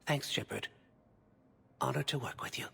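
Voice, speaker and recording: A man voicing an urgent, sharp-witted alien agent in a video game, with clipped delivery. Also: mid-range voice, normal speed, gravelly, husky, full character voice. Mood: grateful.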